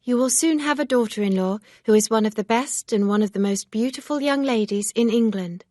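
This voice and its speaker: A woman reader voicing a proud, earnest young woman, pitched up and emphatic. Her tone stays firm and sincere. The sound is close and dry. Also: a little fast, a little mellow, no room sound, close to an everyday voice.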